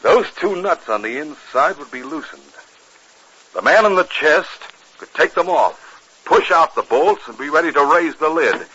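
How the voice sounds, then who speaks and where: An actor playing a commanding, impatient, scheming outlaw gang leader in a 1950s radio western. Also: male voice, fairly high pitch, lively intonation, normal speed, bright, forceful tone, breathy edge, full character voice. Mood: instructing. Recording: noisy, muffled 1950s radio recording with clicks.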